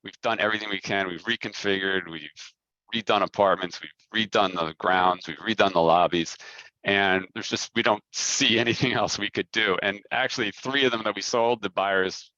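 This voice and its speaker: A man talking informally on a business conference call, halting as he repeats and rephrases his words. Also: lowish voice, sharp tone, dry recording, dull audio. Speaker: company head answering investor questions.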